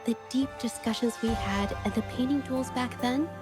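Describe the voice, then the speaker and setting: A woman playing an earnest, shy young woman painter in a video game, speaking in a gentle, hesitant way. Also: a little high, moderate pace, over music, close to an everyday voice. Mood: earnest, wistful. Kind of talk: video game story dialogue acting.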